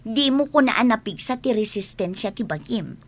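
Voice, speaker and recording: Fussy mother with very animated, high voice. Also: moderate pace. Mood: disapproving. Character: fussy, disapproving mother afraid of illness. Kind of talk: radio drama acting.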